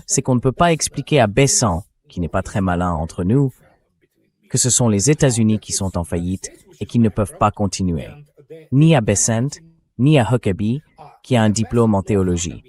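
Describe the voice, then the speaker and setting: A man's voice: fairly low pitch, expressive, moderate pace, a little mellow. A man reading a translated voice-over of political and military commentary in a blunt, scornful, conversational way. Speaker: translated voice-over of an interview guest.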